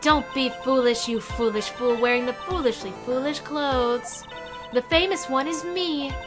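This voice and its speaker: A woman voicing an arrogant young female prosecutor who speaks with imperious scorn, over loud game music. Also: sits high, measured pace. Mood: scornful. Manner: imperious, insulting, repeats "fool". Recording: game music playing loudly under voice.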